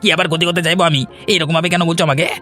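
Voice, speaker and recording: A man's voice: a little high, steady tone, racing, sharp tone, faint music behind. A man voicing a greedy, resentful village villain in a cartoon, grumbling and menacing. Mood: flustered, defensive.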